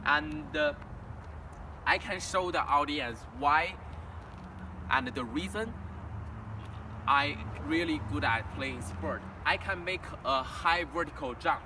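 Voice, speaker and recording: Young chinese guy, mandarin first language, halting student english, recorded outside, kinda far off. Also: fairly high pitch, sing-song, gravelly. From China. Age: young adult. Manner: halting, with frequent restarts and simple learner grammar. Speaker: international student. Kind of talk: walk-and-talk self-introduction presentation. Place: outdoors in a town, walking near a house and street. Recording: distant outdoor recording with traffic noise.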